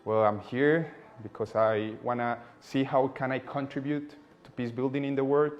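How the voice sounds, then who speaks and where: A young man from Colombia in his twenties speaks in a middle-pitched voice. He answers thoughtfully, often pausing and restarting his sentences. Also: fairly even intonation, lo-fi sound. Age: 20s. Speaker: political scientist and activist. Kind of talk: interview.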